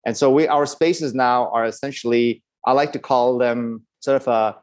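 An American man from Los Angeles in middle age, answering interview questions in a relaxed way, with lots of "uh" and restarts. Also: medium pitch, moderate pace, no echo. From Los Angeles, California. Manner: relaxed, many fillers and restarts. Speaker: podcast guest, startup founder.

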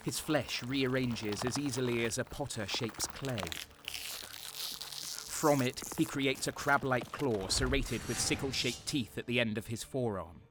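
A man playing an ominous, deliberate narrator of a grisly tale in an audio drama. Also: medium pitch, background noise, natural voice.

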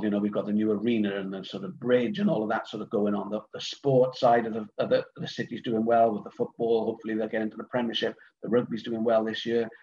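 A man's voice: fairly low pitch, a little mellow, slight background noise. A middle-aged Welsh man from Cardiff chatting casually as a podcast guest, rambling with lots of ums, uhs and restarts. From Wales. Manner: rambling, hesitant, many fillers and restarts. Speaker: guest, event organiser. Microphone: remote online call. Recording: dull lo-fi online call audio.

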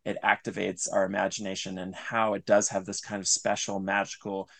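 A man's voice: low voice, level tone, a little fast, creaky, fairly bright tone. A man speaking as an interview guest, explaining his creative thinking in an earnest, discursive, analytical way. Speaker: interview guest, audio drama writer. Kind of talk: reflective interview talk about craft.

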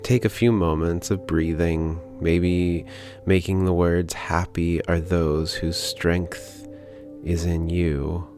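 Slow, low-voiced man guiding meditation. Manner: calm, gentle, unhurried with long pauses. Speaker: podcast host leading guided meditation. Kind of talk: guided prayer meditation with scripture reading. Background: background music.

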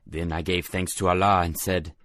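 A man's voice: deep voice, flat delivery, normal speed, fairly bright tone, dry recording. An actor playing a long-suffering young prince turned beggar dervish, telling his tale in formal, declamatory archaic English for an audio drama. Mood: sorrowful, reflective. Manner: declamatory, formal archaic phrasing with recited verse.

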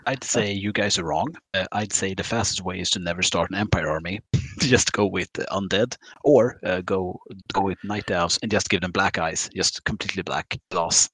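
A man, his voice very deep, cracks wry, teasing jokes in casual podcast chat. The recording is dry and close-miked. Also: normal speed, fairly bright tone, dry recording, rough recording. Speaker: podcast co-host.

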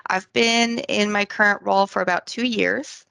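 Woman, thirties, bright tense tone, podcast guest, chatty with lots of ums. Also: mid-range voice, fairly even intonation, moderate pace, creaky, dry recording. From born in Scotland, raised in France. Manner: chatty, many ums and restarts, laughs easily. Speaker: podcast guest, quality and compliance manager. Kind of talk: casual interview talk.

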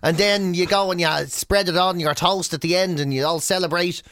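A man's voice: high-pitched, steady tone, fairly quick, a bit bright, smooth, no room sound, clean studio sound. An Irish man co-hosting a radio show, chatting casually and telling funny stories in a jokey, mock-enthusiastic way.

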